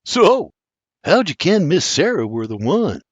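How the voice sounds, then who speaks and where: A man's voice: on the high side, very animated, a little slow, slightly rough, no echo, pitched above the performer's own voice, heavily acted. A man voicing an earnest, bashful young Irishman in love.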